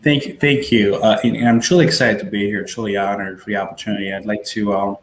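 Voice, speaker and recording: A man who grew up in Haiti, a panel guest introducing himself in a halting way, with many fillers and repeated words. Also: on the low side, steady tone, echoey room, a little hiss or hum. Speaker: panel guest, hospital administrator. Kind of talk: self-introduction on a webinar panel.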